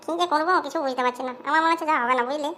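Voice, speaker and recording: A woman's voice: high-pitched, fairly even intonation, rapid-fire, bright, forceful tone. A woman voicing a cheeky, scheming son in an amateur comedy cartoon, whiny and slangy. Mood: grumbling. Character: cheeky scheming son nagging his father for money.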